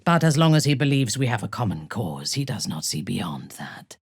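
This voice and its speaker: A woman playing a ruthless, proud female dark elf warrior in a video game, speaking in a haughty, deliberate, menacing way. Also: rumbling low, very animated, creaky, breathy edge, dry recording, studio quality, exaggerated voice. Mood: cold, haughty. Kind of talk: video game character dialogue.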